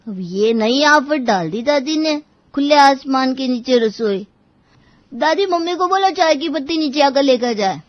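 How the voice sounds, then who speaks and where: A woman voicing a whiny, reluctant young boy who grumbles about errands in an animated moral story. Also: high-pitched, unhurried, no echo, dull audio. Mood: grumbling. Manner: grumbling, protesting.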